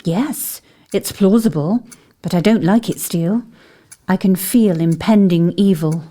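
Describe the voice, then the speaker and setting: A woman acting in an audio drama as a calm, intuitive investigator with a polite, measured, composed way of speaking. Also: on the low side. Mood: thoughtful, composed.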